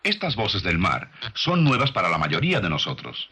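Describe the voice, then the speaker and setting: A man with a fairly low voice narrates in the manner of a scripted nature documentary. His delivery is didactic, and his pitch moves in lively swings as he explains. Clear noise sits behind the voice in the recording. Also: moderate pace. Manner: didactic, rhetorical questions to the listener.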